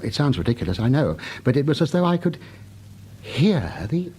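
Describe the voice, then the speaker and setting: A man's voice: medium pitch, very animated, normal speed, darkish tone, husky. A British man acting as a troubled, earnest doctor in a radio thriller, hesitant and overwrought. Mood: uneasy, confiding. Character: troubled, haunted English male doctor, earnest and insistent.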